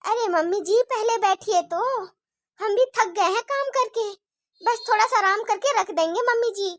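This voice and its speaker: A woman's voice: extremely high pitch, normal speed, no room sound, studio quality. A woman playing a scheming elder daughter-in-law who mutters her plots and sweet-talks her mother-in-law in a homemade family drama skit. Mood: sweet, evasive. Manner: plotting in hushed mutters, sweet to her face.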